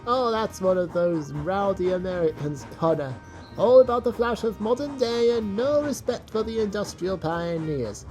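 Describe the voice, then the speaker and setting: A woman's voice: mid-range voice, lively intonation, normal speed, a little mellow, soft background music, pitched above the performer's own voice, lightly acted, poor audio. A woman voicing a prim old female railway coach in an audio drama, grumbling with old-fashioned disapproval. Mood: disapproving.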